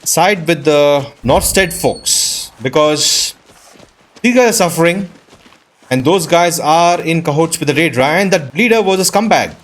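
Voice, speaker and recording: A man's voice: fairly high pitch, expressive, a little slow. A man doing casual game commentary, thinking aloud in an informal, reactive way. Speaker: gaming video host playing and commenting. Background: game music.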